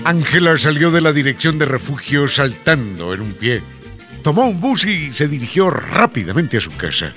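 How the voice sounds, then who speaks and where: A man's voice: a little high, big pitch swings, normal speed. A man narrating a radio drama in a scripted, storytelling style. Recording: music bed with guitar.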